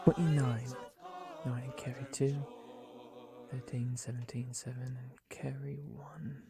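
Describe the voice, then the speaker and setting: A man's voice: mid-range voice, little pitch movement, a little slow, creaky. A man playing a meek, timid clerk in a theatrical radio play, hesitant and deferential. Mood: muttering, absorbed. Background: music.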